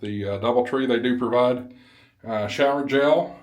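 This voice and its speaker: A man's voice: medium pitch, normal speed. A man talks in a casual vlog style from a hotel room. He is easygoing and a little hesitant, circling back over his phrases as he goes. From American South. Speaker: vlogger and ham radio YouTuber.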